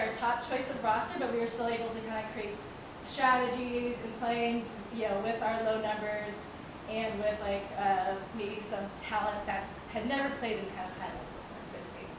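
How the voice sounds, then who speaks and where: Chatty woman speaking in an echoing hall. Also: a little high. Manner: chatty, many "like" fillers. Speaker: guest presenter. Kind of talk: casual live talk to an audience. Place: stage in a performing arts hall. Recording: echoey hall from far off, noisy. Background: audible background noise.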